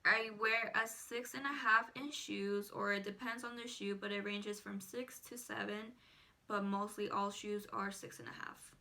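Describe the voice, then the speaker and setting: A woman's voice: medium pitch, fairly even intonation, moderate pace, creaky, bright, forceful tone. An eighteen-year-old woman, a native Spanish speaker, chatting casually to viewers as a vlogger. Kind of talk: casual personal chat to viewers.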